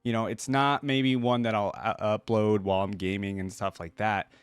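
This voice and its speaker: A man giving casual reaction commentary as a video host, talking informally and thinking out loud. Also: fairly low pitch, fairly even intonation, moderate pace, clear, resonant tone, no room sound.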